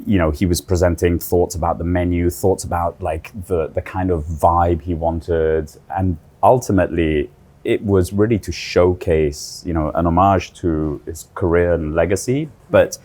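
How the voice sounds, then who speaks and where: A middle-aged man with a very deep voice walks through a room's design. He talks fluently and descriptively as a designer, often reaching for "kind of" and "you know". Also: fairly even intonation, measured pace, darkish tone. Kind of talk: explaining a design on a tour.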